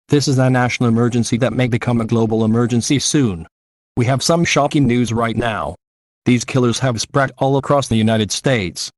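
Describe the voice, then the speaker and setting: A man's voice: medium pitch, no room sound. A man reading a scripted emergency news bulletin in a formal, declarative way. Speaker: news announcer.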